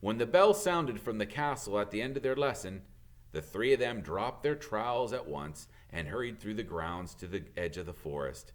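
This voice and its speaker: A man reading a children's story aloud as an expressive narrator, like a teacher reading to pupils. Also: lowish voice, normal speed.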